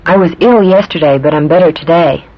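A man reading English practice sentences aloud, clearly and carefully, on a language course tape. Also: a little high, fairly quick. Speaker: drill speaker on language tape. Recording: dull old language tape.

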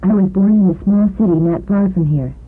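A man reading drill sentences in a measured, clearly enunciated way for a language lesson. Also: high voice, narrow, tinny audio.

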